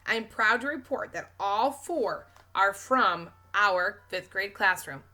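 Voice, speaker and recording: A woman voicing a proud male schoolteacher with a formal, deliberate, dry manner, reading from a storybook. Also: mid-range voice, lively intonation, sharp tone, soft background music.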